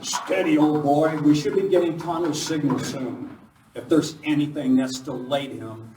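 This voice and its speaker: Distant echoey hall, a man acting an urgent heroic masked cowboy, slightly high voice, radio western. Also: steady tone, a little slow, over music, lightly acted. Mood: watchful, excited. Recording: distant mic in echoey hall, faint noise.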